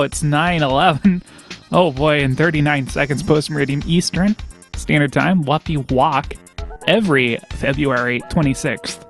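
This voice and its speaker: A man hosting a live comedy radio show with prank calls, rambling and stumbling over his words while laughing at himself. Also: a little high.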